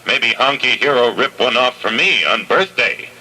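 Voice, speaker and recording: A man playing a slow, dim-witted sidekick who speaks in broken phrases that start with "uh", in a 1970s radio comedy spoof. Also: mid-range voice, expressive, sharp tone, breathy edge, exaggerated voice, rough recording. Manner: broken grunting speech, starts with "uh".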